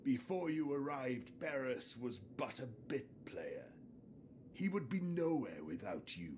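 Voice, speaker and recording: Theatrical sneering villain, widely swinging pitch. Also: male voice, big pitch swings, darkish tone, a little hiss or hum. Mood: menacing taunting. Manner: theatrical, taunting, drawn-out delivery. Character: sneering, vengeful Sith lord villain, contemptuous. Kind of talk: video game voice acting.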